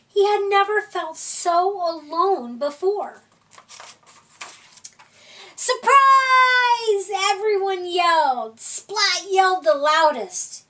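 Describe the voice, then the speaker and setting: Lady doing library storytime, slow and gentle, bouncy high voice, bit far from the mic. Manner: gentle, expressive read-aloud delivery. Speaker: storytime reader. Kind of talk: picture-book read-aloud narration.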